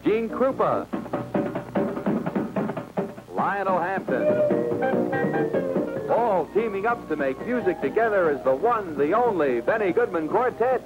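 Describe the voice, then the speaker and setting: Loud music runs under an old radio spot. A male announcer with a fairly high voice delivers rhythmic patter, his pitch moving in a lively way. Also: unhurried, long pauses, muffled recording. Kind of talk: vintage radio public service message, rhythmic patter.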